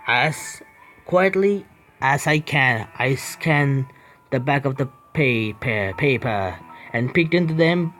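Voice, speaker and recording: A man streaming a horror visual novel, reading its text aloud haltingly, stumbling over words and breaking off to complain at the game. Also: mid-range voice, animated, a bit bright, soft background music. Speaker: gaming streamer.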